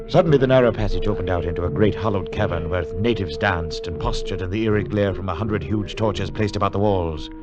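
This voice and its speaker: A sober gentleman adventurer, played by a man in an old radio play. His voice is deep and deliberate, with literary, dramatic phrasing, and music swells behind him. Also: lightly acted. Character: sober English gentleman adventurer recounting his strange journey. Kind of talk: 1940s radio drama narration and dialogue.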